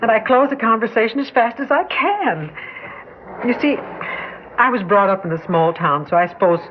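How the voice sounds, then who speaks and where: A woman's voice: on the high side, animated, normal speed, slight background noise, lightly acted. Scared young woman pleading nervously as an actress plays her, muffled old radio drama. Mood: anxious. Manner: anxious, pleading. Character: frightened young woman harassed by phone calls. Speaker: lead actress and narrator. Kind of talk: 1950s radio drama acting. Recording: dull lo-fi old radio recording.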